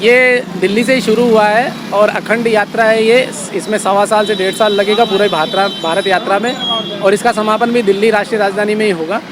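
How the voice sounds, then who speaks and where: A man's voice: sits high, steady tone, a little fast. A man from Jaipur, India, giving earnest, spontaneous interview answers and mixing in English words. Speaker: interviewee, touring social activist. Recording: clear traffic noise outdoors. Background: street and vehicle noise.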